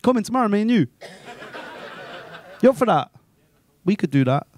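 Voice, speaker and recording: Man in his thirties, high-voiced stand-up, crude and incredulous, live in a small comedy club on a handheld mic. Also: normal speed. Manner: animated, crude, ranting and incredulous.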